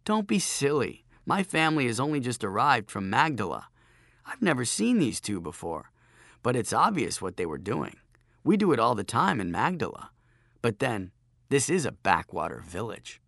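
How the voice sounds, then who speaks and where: A man's voice: medium pitch, very animated, normal speed, gravelly, a bit bright, no room sound, clean studio sound, heavily acted. Man voicing a cool, unruffled young girl. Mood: matter-of-fact. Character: self-possessed young village girl, cool and gently scornful.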